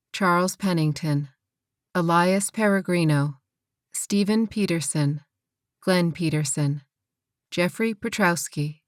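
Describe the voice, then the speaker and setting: A woman with a slightly low voice reads names in a formal roll call. She is slow and measured, and the sound is close and dry. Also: dry recording. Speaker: reader of a memorial roll call. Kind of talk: formal read-out list of names.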